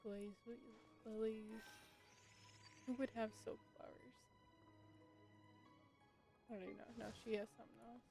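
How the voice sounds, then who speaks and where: A woman with a gravelly creak in her voice streams a video game. She talks casually, half muttering her thoughts as she plays. Game music plays clearly behind her. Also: on the high side, flat delivery, a little slow, long pauses. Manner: muttering to herself, thinking aloud. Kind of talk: casual live gameplay commentary.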